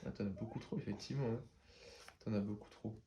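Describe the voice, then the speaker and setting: A man's voice: lowish voice, fast talker, dark tone, muffled recording. A man with a stuffy head cold, casually explaining his moves in a card game match with many fillers. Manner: chatty, explains each move with many fillers. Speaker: card game player and channel host.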